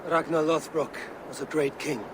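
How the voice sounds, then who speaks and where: An actor playing a stern, loyal Viking warrior in a video game, speaking in a blunt, firm and terse way. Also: male voice, on the high side, steady tone, moderate pace, background noise, lightly acted. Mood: reassuring. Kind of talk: dramatic game dialogue. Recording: outdoor game ambience behind the voice.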